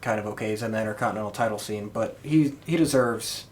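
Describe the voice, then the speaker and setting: A man's voice: on the low side, fast talker. A man co-hosting a podcast in casual, conversational discussion. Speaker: podcast co-host.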